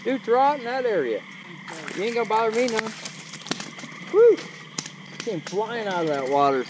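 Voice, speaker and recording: Excited man fishing at night. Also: high-pitched, moderate pace, noisy background. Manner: excited, talks while reeling in fish. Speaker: fishing vlogger. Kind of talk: casual outdoor commentary. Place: riverbank at night outdoors. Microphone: action camera, sometimes far.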